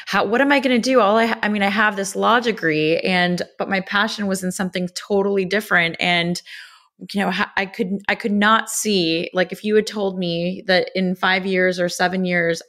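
A woman's voice: mid-range voice, fairly even intonation, moderate pace, a bit bright. A woman in her thirties from Los Angeles, a cookbook author and food blogger talking candidly as a guest in a podcast interview. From Los Angeles, USA. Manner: candid, open, chatty with small restarts.

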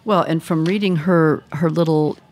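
Female podcast host, low-pitched voice, casual conversational interviewing. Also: fairly even intonation, normal speed, a little mellow.

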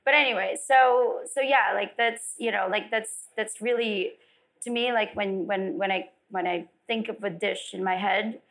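A woman's voice: mid-range voice, moderate pace, creaky, fairly bright tone, low-quality recording. A woman chef from the Philippines, talking in a loose, rambling way with lots of fillers on a live panel. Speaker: executive chef. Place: event venue with audience.

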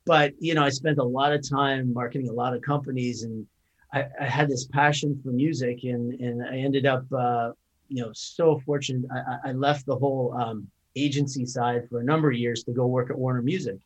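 A man's voice: medium pitch, fairly even intonation, fairly quick, poor audio. A middle-aged man from Canada with a Canadian accent, a podcast guest explaining his views at length in an earnest way with frequent restarts. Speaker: guest, cannabis company founder. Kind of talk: casual podcast conversation.

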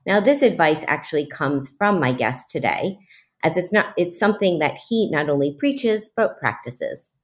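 A woman's voice: low voice, animated, normal speed. A woman hosting an interview podcast, reading introductions and talking with her guest. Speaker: podcast host.

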